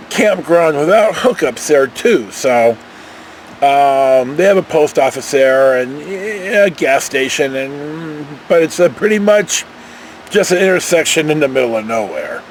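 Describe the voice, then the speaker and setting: Animated, high-pitched older American man storytelling. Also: measured pace, slight background noise. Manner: folksy, meandering, animated emphasis. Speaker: vlogger telling personal travel stories. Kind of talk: casual rambling storytelling.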